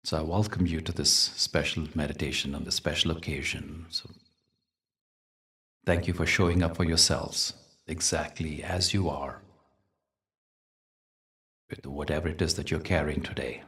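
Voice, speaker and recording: Very deep-voiced man guiding a meditation. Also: steady tone, distant mic. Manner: gentle, deliberate, soothing delivery with dramatic pauses. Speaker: meditation guide and host. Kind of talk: guided meditation talk with storytelling.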